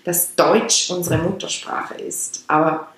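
A woman's voice: on the low side, steady tone, slow pace, a bit bright. Swiss woman explaining chakras in a very echoey room. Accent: Swiss German. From Switzerland. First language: Swiss German. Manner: rambling, with restarts and digressions. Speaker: chakra teacher and podcast host. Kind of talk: casual spoken explanation.

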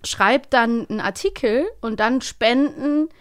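A woman with a fairly high voice co-hosts a casual podcast. She talks in a humorous, chatty style and retells things in an animated way, slipping into little acted-out bits. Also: measured pace, clean tone. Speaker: podcast co-host.

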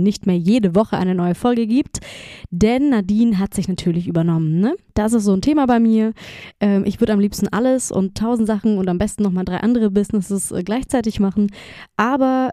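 A woman speaks in a mellow, relaxed tone in a dry, close recording. Her voice is slightly congested from a cold. She talks casually as a solo podcast host, addressing listeners directly and dropping in English words. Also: medium pitch, dry recording.

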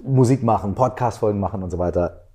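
Mellow-voiced male podcast host. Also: lowish voice, quick pace. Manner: relaxed, slangy, thinks aloud with many fillers. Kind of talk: casual interview chat.

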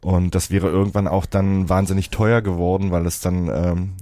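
A man speaking as a guest developer, explaining technical matters off the cuff in a halting way with frequent hesitations. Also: low voice, level tone, warm tone, no room sound. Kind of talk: spontaneous technical explanation in discussion.

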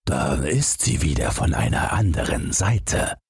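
A naive storybook snowman, voiced by a male reader, low and very husky. He talks slowly and musingly, full of stubborn self-importance. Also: big pitch swings, no echo, studio quality, exaggerated voice. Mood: wistful, musing. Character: naive newborn snowman, proud, puzzled and stubborn.

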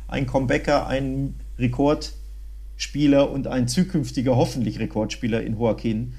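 A man's voice: medium pitch, fairly even intonation, normal speed, mellow. A man chatting casually and colloquially as co-host of a football podcast. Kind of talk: casual chat, recounting match visits.

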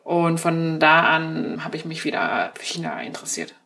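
A woman's voice: sits low, very animated, normal speed, fairly bright tone. A woman in her thirties who grew up in Germany, a correspondent thoughtfully recounting personal memories over the phone in an interview. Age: 30s. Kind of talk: reflective interview answers. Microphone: telephone.

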